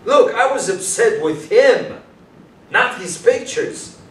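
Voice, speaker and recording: A man's voice: extremely high pitch, expressive, takes it slowly, a bit bright, full character voice. A man acting an earnest, anxious young police detective in a staged play reading. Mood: pleading. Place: small hall, staged reading before an audience. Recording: distant, echoey room with background hiss.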